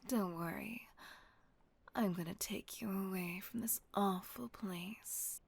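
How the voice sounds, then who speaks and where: Woman acting a breathy, soothing, genteel vampire mistress. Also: medium pitch, exaggerated voice. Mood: soft, comforting. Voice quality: breathy, husky near-whisper with creaky edge. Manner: gentle, genteel, unhurried, soothing. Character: kind, refined female vampire mistress soothing her purchased captive. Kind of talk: intimate roleplay audio drama.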